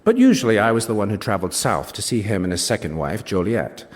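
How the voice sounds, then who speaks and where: An actor playing a wry male British novelist, reading a first-person literary story in an expressive, savouring way with small asides. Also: lowish voice, quick pace. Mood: wry, confiding. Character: wry male British novelist recounting a rivalry with his friend. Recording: reverberant hall, stage reading.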